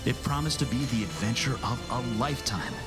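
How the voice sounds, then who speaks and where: An actor playing a nervous, wisecracking young soldier from Earth in a motion comic, flippant and stammering. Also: male voice, medium pitch, lively intonation, brisk, close to an everyday voice. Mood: narrating. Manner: flippant, stammering, eager to be liked. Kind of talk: animated motion-comic acting and narration. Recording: loud music behind the voice.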